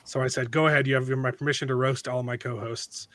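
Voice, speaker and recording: A man hosting a casual podcast, hoarse from a cold, rambling with restarts and joking asides. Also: medium pitch, steady tone, fast, a bit bright, no room sound. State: hoarse, losing his voice from a cold. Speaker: podcast host.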